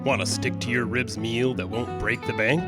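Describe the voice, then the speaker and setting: A man playing a friendly, folksy Western pitchman in a mock radio commercial. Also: fairly even intonation, moderate pace, lightly acted. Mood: folksy, selling. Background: plucked guitar music.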